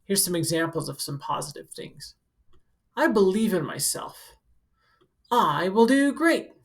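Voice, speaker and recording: A woman reads a picture book aloud in the role of a school counselor. Her voice sits fairly low for a woman and has a strong creaky fry. She speaks directly to young listeners, slipping between the text and informal explanations. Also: sing-song, a little slow. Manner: instructive, speaking directly to children.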